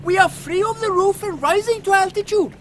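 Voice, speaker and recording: A woman's voice: very high-pitched, fairly quick. A woman playing a prim, literal-minded, dutiful aircraft crew member in an audio drama, speaking formally and precisely. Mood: efficient. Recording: faint outdoor vehicle noise.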